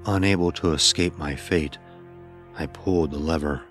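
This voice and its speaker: Very deep-voiced male horror narrator. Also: loud music behind the voice. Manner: grave, deliberate storytelling. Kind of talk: scripted first-person horror narration.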